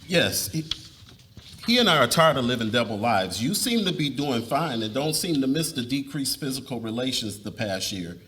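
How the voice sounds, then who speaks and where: A man's voice: mid-range voice, big pitch swings, normal speed, pushed and bright. A man playing an earnest husband and father in a dramatic reading, explaining and reassuring as he confesses. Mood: confessing.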